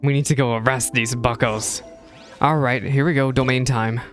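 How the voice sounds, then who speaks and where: A male streamer with a middle-pitched voice reacts casually to a game. He thinks aloud in a chatty, playful way. Faint game music sits behind his voice. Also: steady tone, normal speed. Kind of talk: casual live gameplay reaction commentary.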